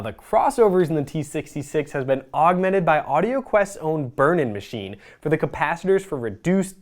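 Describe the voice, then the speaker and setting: A presenter reading a scripted product review in a measured, explanatory way. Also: medium pitch.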